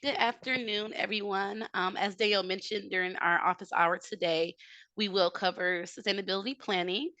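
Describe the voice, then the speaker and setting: Bright, dry-sounding woman presenting a webinar. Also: mid-range voice, moderate pace, dry recording. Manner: steady, explanatory, frequent "um" fillers. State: slightly hoarse from a cold. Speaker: technical assistance presenter. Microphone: video call.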